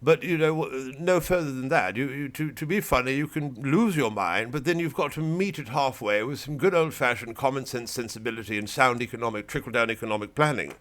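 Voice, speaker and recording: A man's voice: medium pitch, a little fast, hardly any pauses, fairly bright tone, natural voice. A man voicing a pompous boy who calls himself a seventeen-year-old old man, with fussy, stammering, prim disapproval. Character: pompous self-declared 17-year-old male fogey, prissy. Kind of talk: satirical comedy monologue.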